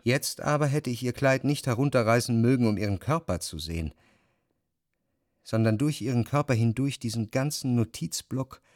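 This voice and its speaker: An actor playing a jealous, introspective lover who narrates in the first person in a measured, deliberate way, in a literary radio drama. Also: male voice, mid-range voice, darkish tone, no room sound, clean studio sound, natural voice. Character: jealous, brooding male lover recounting his obsessions. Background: music.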